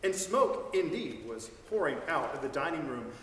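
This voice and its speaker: Man, expressive storyteller, slightly high voice, recorded at a distance in a reverberant hall. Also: normal speed, slight background noise. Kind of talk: dramatic reading of a story. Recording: echoey hall, mic at a distance.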